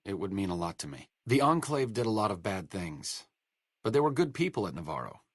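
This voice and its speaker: Casting: a wry, educated young game scientist, low voice, lively melody, dry studio sound. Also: male voice, fairly quick, no room sound. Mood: earnest. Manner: dry sardonic wit, educated phrasing. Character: wry, learned male scientist companion, dry wit. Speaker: game companion voice lines. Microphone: close studio mic.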